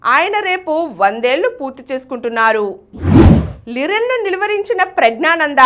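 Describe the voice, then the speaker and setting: A woman's voice: sits high, fairly bright tone. A woman reading scripted news as a bulletin presenter. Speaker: news reader.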